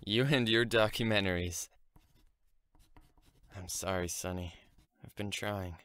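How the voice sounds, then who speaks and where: Male actor as troubled young man: slightly low, gentle, guarded, very dry close recording. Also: a little slow, clean tone, no room sound. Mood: apologetic. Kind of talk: naturalistic audio drama dialogue.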